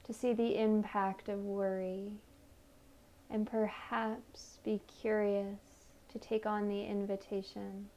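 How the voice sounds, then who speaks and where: A woman leading a guided meditation in a soothing, gentle manner, offering unhurried invitations. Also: medium pitch, little pitch movement. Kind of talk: guided meditation, body scan narration.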